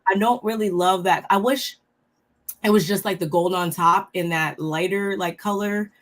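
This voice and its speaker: A woman co-hosting a reality TV recap. She is a little under the weather and talks in a relaxed, halting way with many fillers. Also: mid-range voice. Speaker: co-host of a reality TV recap show. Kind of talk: casual chat reviewing looks.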